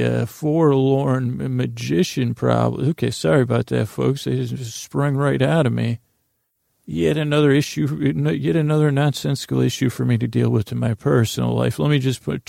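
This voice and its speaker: Gravelly, monotone man rambling at bedtime. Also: mid-range voice, no echo, studio quality. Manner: meandering, halting, goofy and self-deprecating. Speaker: podcast host. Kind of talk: rambling bedtime story monologue.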